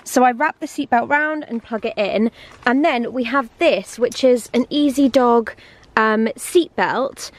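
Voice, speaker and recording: A woman's voice: on the high side, unhurried. A young adult woman, chatting casually and informally to camera as a vlogger. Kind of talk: casual vlog chat to camera.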